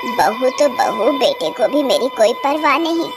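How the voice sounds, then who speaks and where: A woman's voice: high voice, lively intonation, measured pace, loud music behind the voice. A woman voicing a vengeful old witch, a wronged mother-in-law, gloating and menacing, in a horror storybook tale. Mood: bitter, vengeful.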